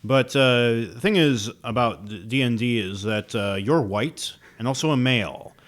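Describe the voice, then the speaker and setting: A young man co-hosting a casual podcast, speaking in a low-key, hesitant way with many fillers. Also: normal speed. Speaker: podcast co-host. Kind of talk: casual chat.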